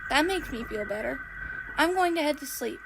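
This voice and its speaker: A woman voicing a sweet, eager and slightly timid female steam engine, polite and gentle, in a train story. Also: high-pitched, expressive, normal speed, vocal fry, background noise. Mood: nervous.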